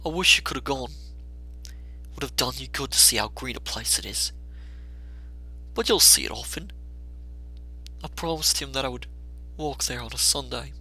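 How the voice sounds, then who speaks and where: A man's voice: mid-range voice, normal speed, pauses often, bright, forceful tone, husky, rough recording. A man voicing a kindly, grieving Victorian father and humble clerk, earnest and emotional, in a storybook reading. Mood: fond, wistful.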